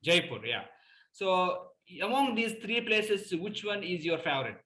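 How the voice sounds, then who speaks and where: A man's voice: sits high, sing-song, measured pace, pushed and bright. A man with an Indian English accent hosting and moderating a video call session, speaking formally and courteously to the audience. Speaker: host and moderator. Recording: echoey room.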